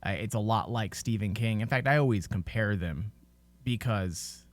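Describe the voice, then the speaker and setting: A man's voice: on the low side, normal speed, no echo. Opinionated man in dry podcast sound. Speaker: podcast host. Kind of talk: casual podcast discussion.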